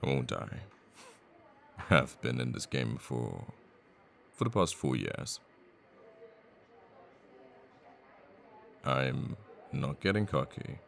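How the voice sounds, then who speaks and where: A man playing a cocky assassin boyfriend in an intimate ASMR audio roleplay, murmuring and sweary, with sighs and pauses. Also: extremely low pitch, sing-song, gravelly, full character voice. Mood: cocky, irritated. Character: cocky young male assassin, flippant, menacing, secretly a devoted boyfriend.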